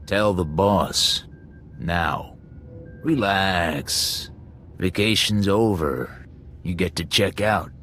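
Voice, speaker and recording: An actor playing a hard, businesslike thug interrogator in a game, giving orders in a curt, menacing, matter-of-fact way. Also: male voice, low voice, extremely slow, pauses often, fairly bright tone, soft background music, heavily acted. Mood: businesslike, cold. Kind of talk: video game drama acting.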